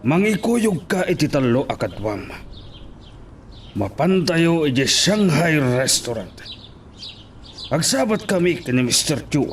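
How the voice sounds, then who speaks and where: A man playing a corrupt town mayor in an audio drama, bossy and deliberate as he gives orders. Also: fairly high pitch, big pitch swings, slight background noise. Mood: calculating, businesslike. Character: corrupt, commanding male town mayor, scheming villain.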